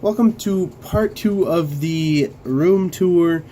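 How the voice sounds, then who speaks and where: A young man hosting a casual room tour vlog of his bedroom studio, talking haltingly with many fillers and restarts while coming down with a cold. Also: sits high, dark tone. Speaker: Lego channel host.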